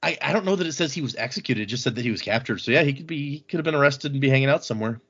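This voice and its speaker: Man, podcast host, mid-pitched voice, casual book recap, informal, slightly muffled lo-fi sound. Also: very fast, fairly bright tone, breathy edge. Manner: informal, acts out quoted dialogue briefly.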